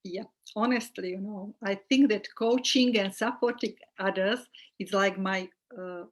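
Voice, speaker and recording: Middle-aged Slovak-accented woman, earnest holistic coach, mid-pitched guest voice. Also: steady tone, measured pace. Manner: earnest, with small restarts and fillers. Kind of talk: casual interview chat.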